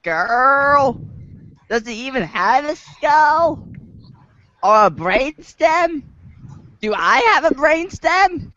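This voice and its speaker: A man's voice: extremely high pitch, very animated, very slow, pauses often, bright, forceful tone, dry recording, dull audio, full character voice. An amateur actor, a man, playing a sassy, slangy teenage boy as a drawling valley girl, in a reader's theater recording.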